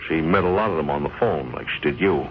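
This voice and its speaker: The calm, dependable pal in a vintage radio thriller. His deep voice is measured and placating, with something evasive about it. The old recording is muffled and lightly hissy. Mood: explaining. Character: steady, dependable male friend, calm and evasive. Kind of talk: old-time radio crime drama acting.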